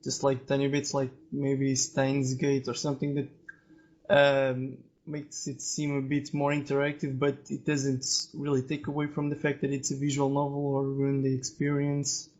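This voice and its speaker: A man talks casually as a podcast guest, with little movement in his pitch. He is hesitant and halting as he searches for words. The recording is dull and lo-fi. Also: completely flat delivery, darkish tone. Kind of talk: casual discussion of opinions. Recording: dull, lo-fi voice-chat audio.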